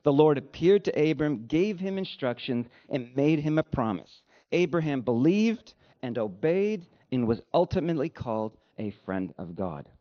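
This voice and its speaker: A man preaching a sermon as a pastor, with a deliberate, emphatic delivery and dry humor. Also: on the high side, very animated, highs cut off.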